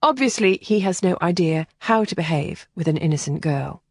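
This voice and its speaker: A woman narrator voicing a composed, caring female companion who speaks gently and matter-of-factly. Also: on the low side, animated, normal speed, breathy edge, dry recording, clean studio sound. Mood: soothing. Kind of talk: audiobook character voicing.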